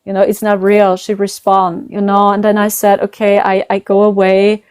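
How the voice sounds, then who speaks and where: A woman's voice: mid-range voice, completely flat delivery, moderate pace, a little mellow, clear, resonant tone, no room sound, studio quality. A woman with a German accent, a native German speaker, chatting casually as a psychic medium host and rambling with frequent "you know". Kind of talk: casual conversation.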